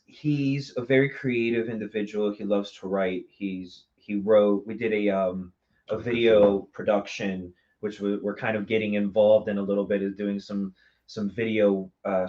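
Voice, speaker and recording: A man's voice: low voice, darkish tone, lo-fi sound. A man talking casually and at length as a guest in an interview, chatty and enthusiastic about his work. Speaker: interview guest from a small theater company. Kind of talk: casual live interview talk.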